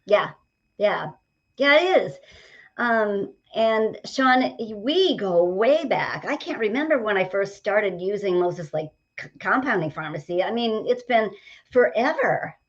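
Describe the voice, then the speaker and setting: Energetic woman nearing seventy, lo-fi. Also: medium pitch, measured pace. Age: 60s. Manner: energetic, upbeat, talks in stories and examples. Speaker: guest, motivational coach. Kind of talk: podcast conversation.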